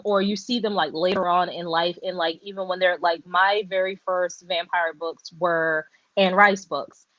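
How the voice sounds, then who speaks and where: A woman's voice: mid-range voice, no echo. Rambling woman on a dull lo-fi call. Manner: rambling, animated, many fillers and restarts. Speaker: book discussion co-host. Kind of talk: casual book chat on a live stream. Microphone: video call. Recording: dull, dry lo-fi sound.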